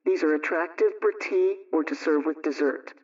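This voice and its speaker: A man reading a scripted vintage radio homemaking show as host, giving measured recipe instructions. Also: a little high, a little mellow. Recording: dull, distant old-radio sound.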